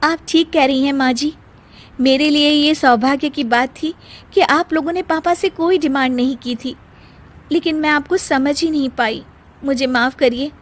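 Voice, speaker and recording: A woman's voice: high voice, normal speed, lightly acted. A woman voicing a young Indian daughter-in-law in a moral story, emphatic and pleading. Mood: apologetic. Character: young Indian daughter-in-law, defiant yet respectful to elders. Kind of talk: acted dialogue in a Hindi moral story.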